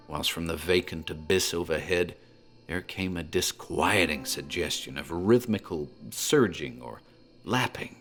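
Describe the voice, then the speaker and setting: Low-voiced ominous tavern landlord storyteller. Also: male voice, fairly bright tone, full character voice. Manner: measured, ominous, literary phrasing. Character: talkative rural tavern landlord telling a sordid local horror tale. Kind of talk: dramatic horror storytelling. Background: music.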